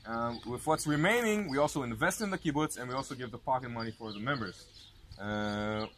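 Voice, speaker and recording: A male tour guide speaks at a medium pitch with an Anglo accent shaped by American and South African parents. He explains things informally, step by step, checking in with short questions. Clear outdoor ambient noise sits behind him. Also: brisk, a bit bright. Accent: English with Anglo influence from American and South African parents.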